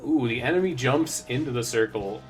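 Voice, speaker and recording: A young man calls the action of a video game like a sports caster, slipping jokes into his play-by-play. His voice sits at an ordinary pitch, and faint music plays behind him. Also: lively intonation, a little fast. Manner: playful, jokey play-by-play. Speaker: co-commentator. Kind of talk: mock sports-caster commentary.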